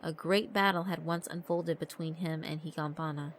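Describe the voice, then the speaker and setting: A woman's voice: low-pitched, fairly even intonation, moderate pace, a little hiss or hum. A woman giving scripted narration for a horror visual novel. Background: background music.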